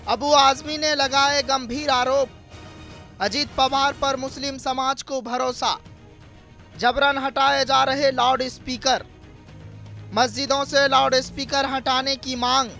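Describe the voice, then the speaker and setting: A man's voice: extremely high pitch, little pitch movement, takes it slowly, creaky, pushed and bright. A man reading a dramatic scripted news report as a voice-over. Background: music bed.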